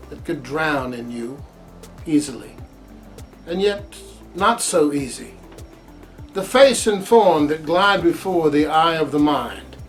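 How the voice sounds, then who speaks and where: A man reciting his own poem with deliberate, reflective phrasing. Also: fairly high pitch. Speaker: poet reading own work. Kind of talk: poetry recitation.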